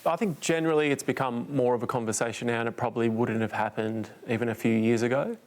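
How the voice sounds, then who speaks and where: A man speaking as a panel guest in a studio discussion, giving his opinions in a firm, measured way. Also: medium pitch, steady tone.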